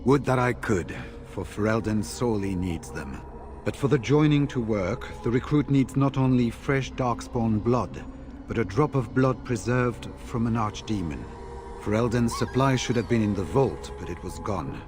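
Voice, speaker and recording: An actor voicing a seasoned, courteous senior warrior in a fantasy video game, speaking in a formal, measured way. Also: male voice, mid-range voice, background noise, lightly acted. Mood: grave, measured.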